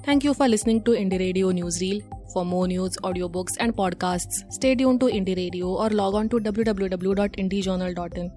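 A woman's voice: mid-range voice, a little fast, few pauses, mellow, soft background music. A woman with an Indian accent presenting a scripted news bulletin as a newsreader.

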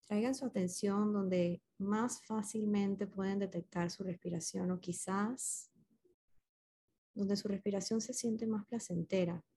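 A woman leading a guided meditation as a facilitator, speaking gently and unhurriedly with pauses between short instructions. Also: mid-range voice, fairly even intonation, husky.

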